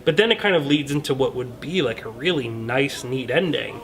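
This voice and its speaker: A man's voice: mid-range voice, expressive, moderate pace, creaky, fairly bright tone. A man talking through his opinions on a film in a casual, earnest, conversational way, hedging as he goes. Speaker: film review show host.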